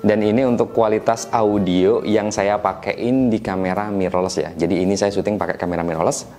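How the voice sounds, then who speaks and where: A man with a low, deep voice that sounds stuffed up and nasal from a cold. He walks through gear tips in an easygoing, conversational way, often tagging his points with small questions. Soft music plays faintly behind him. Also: fairly quick. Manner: relaxed, chatty, frequent tag questions. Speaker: tech reviewer teaching mic setup. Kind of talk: casual explanatory tutorial.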